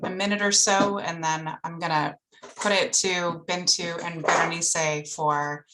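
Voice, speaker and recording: A woman moderating a panel, briskly steering toward closing words. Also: fairly low pitch, fairly even intonation, heavy background noise.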